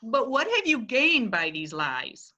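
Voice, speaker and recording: Elderly society matron, read by a woman: high, sing-song, sharply teasing. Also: very animated, slow, bright, forceful tone, dull audio, heavily acted. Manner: tart, incredulous and teasing. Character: sharp-tongued elderly society lady, skeptical. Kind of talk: readers theatre reading.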